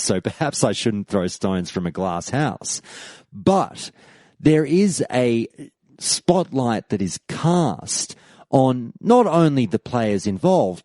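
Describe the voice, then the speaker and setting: A man hosts a podcast in a slow, deliberate delivery with a lively, rising and falling pitch. His voice sounds dry and close, with no room around it. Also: no room sound. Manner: measured, reads forum posts aloud. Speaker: podcast host. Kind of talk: scripted podcast commentary.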